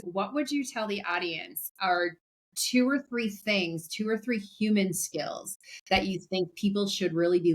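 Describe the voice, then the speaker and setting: A woman hosting a podcast interview in a casual, conversational and informal way. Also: fairly low pitch. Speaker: podcast host.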